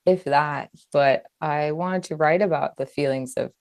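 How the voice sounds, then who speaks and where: A woman from Hawaii with a strikingly deep voice speaks as an author being interviewed. Her delivery is conversational, expressive and chatty, and the sound is dry, with very little room in it. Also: a little slow, no room sound. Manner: chatty, expressive, laughs easily. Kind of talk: casual conversational interview about own writing.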